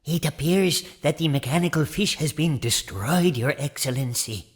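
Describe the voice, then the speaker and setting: A man's voice: fairly high pitch, lively intonation, moderate pace, slight background noise, close to an everyday voice. An actor playing a deferential male aide to an undersea ruler in an audio drama. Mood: obsequious. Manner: obsequious.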